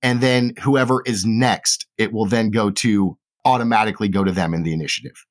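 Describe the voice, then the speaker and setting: A man acting as game master, explaining game rules quickly and plainly. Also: on the low side.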